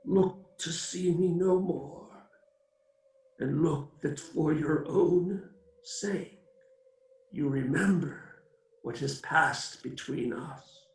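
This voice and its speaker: A man's voice: on the high side, drawn-out words, vocal fry, long pauses, heavily acted. An actor playing the tormented, remorseful ghost of a dead businessman in a radio play, declaiming with grand solemnity in a ghostly reverb. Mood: solemn warning. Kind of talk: theatrical radio play acting. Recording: echoey, distant-sounding voice.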